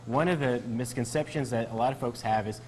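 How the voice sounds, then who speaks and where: A man's voice: medium pitch, fairly quick, a little mellow, slight background noise, poor audio. A man speaking as a doctor being interviewed, explaining things in a conversational way.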